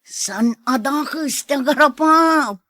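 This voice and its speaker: A woman's voice: high voice, takes it slowly, sharp tone, no echo, studio quality, heavily acted. A woman playing a lazy, scoffing farm labourer in an audio drama, with a drawling, complaining delivery. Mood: scornful.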